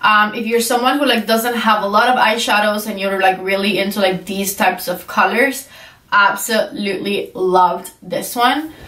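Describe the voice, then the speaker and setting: A woman's voice: medium pitch, normal speed, pushed and bright. A young woman reviewing makeup in a casual, chatty way, her voice stuffy and congested from a cold. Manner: chatty, enthusiastic, many "like" fillers. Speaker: beauty vlogger. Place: indoor room with shelves. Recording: roomy echo, voice slightly far from mic.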